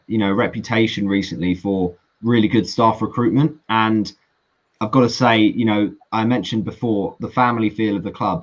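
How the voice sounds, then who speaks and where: A man's voice: on the low side, muffled recording. A man from England talking as a guest fan, discussing football in an earnest, analytical, casual way over an online call.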